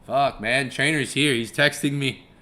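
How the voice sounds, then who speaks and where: A man's voice: mid-range voice, unhurried, bright, forceful tone. A young man hosting a casual live stream, rambling, chuckling and swearing casually as he repeats himself. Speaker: live-stream trading host.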